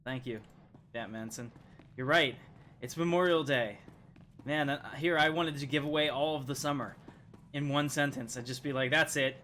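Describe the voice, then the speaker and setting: A man's voice: mid-range voice, normal speed, bright, forceful tone, a little hiss or hum. A man streaming a video game with casual, joking, rambling commentary.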